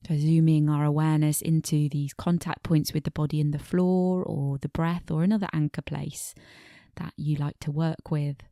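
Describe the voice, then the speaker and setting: A woman meditation teacher guiding a body scan in a gentle, unhurried manner, pausing between short phrases, with soft phrase endings that fade toward breathiness. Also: sits low, level tone, dry recording, studio quality.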